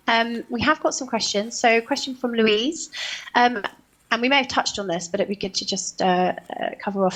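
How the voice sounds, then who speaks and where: A woman's voice: medium pitch, a bit bright, rough recording. A woman moderating a conference panel, politely reading out audience questions with hesitant fillers.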